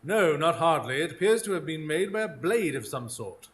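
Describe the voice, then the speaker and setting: An actor playing a keen, self-assured Victorian detective in a live radio drama, speaking formally and precisely. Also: male voice, a little high, expressive, normal speed, fairly bright tone. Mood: analytical. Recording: live stage recording, faint ambient noise.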